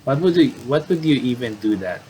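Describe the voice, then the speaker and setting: A man doing casual game commentary, reading on-screen dialogue aloud and adding halting asides. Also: medium pitch, lively intonation, darkish tone, a little hiss or hum, poor audio. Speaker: gamer doing playthrough commentary.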